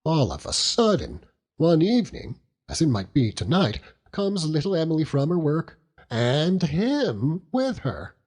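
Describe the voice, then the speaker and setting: Hearty old country seaman, chuckling, overjoyed, lively pitch, unhurried audiobook character. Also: exaggerated voice. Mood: jubilant. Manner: chuckling, rambling, dialect-heavy storytelling with exclamations. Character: hearty old working-class seaman uncle, doting and overjoyed.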